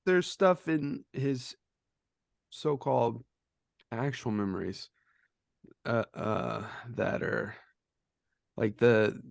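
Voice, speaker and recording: A man's voice: mid-range voice, drawn-out words, creaky, long pauses, no echo, studio quality. A man co-hosting a casual film discussion in a hesitant, laid-back way. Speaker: film podcast co-host.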